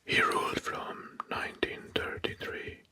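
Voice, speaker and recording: A man whispering an ASMR history narration into a close microphone, hushed and deliberate, softly repeating key phrases. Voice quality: breathy, mostly unvoiced whisper. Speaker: ASMR host.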